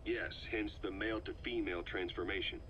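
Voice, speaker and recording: An actor playing a gruff, sober male military commander in a video game, asking terse, probing questions. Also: low-pitched, fairly even intonation, a little fast, lightly acted. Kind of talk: dramatic video game voice acting. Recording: muffled, lo-fi tape with background hum.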